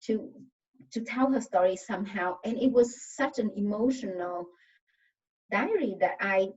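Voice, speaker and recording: A woman's voice: medium pitch, expressive, darkish tone, slightly rough. Woman with a Vietnamese accent, distant sound. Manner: earnest, pauses to search for words. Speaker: panel guest writer. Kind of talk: casual panel talk. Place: video call. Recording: distant, muffled video call audio.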